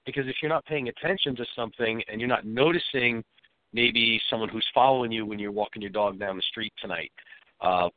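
A man with a cold and a slightly raspy voice, answering interview questions as a safety expert in an easygoing, explanatory way. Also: fairly low pitch. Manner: explains at length in a plain, easygoing way. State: has a cold, a bit raspy. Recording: dry, phone-like line.

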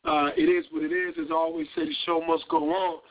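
A man with a hoarse, gravelly voice hosts live talk radio, sitting slightly high in his range. He rambles casually and warmly addresses his audience. The sound is narrow and tinny, like a phone line. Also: sing-song, quick pace. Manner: casual, rambling, chatty greetings to listeners. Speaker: radio show host.

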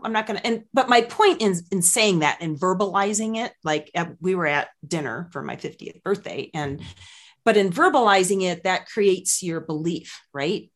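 A woman in her fifties, a pet health expert, talking in an animated, earnest way on a casual podcast. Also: lowish voice. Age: 50s. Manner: animated, earnest, swoops up into quoted voices.

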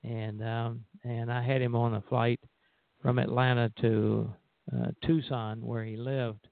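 A man's voice: medium pitch, flat delivery, a little slow, creaky, dry recording. An older man co-hosting a radio show, reminiscing casually in a hesitant, meandering way. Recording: dry, narrow phone line.

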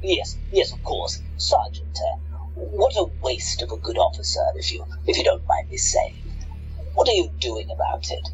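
A man's voice: on the high side, big pitch swings, moderate pace, dull audio, soft background music. A man playing a smug, scheming radio-play villain who gloats theatrically and plots revenge. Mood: ingratiating.

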